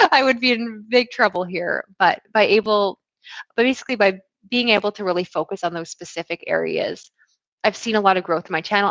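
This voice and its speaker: A woman in her thirties from Canada, hosting a casual livestream Q&A about books in a chatty, relaxed way with many fillers and soft laughs. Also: medium pitch, dry recording, muffled recording. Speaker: booktube host.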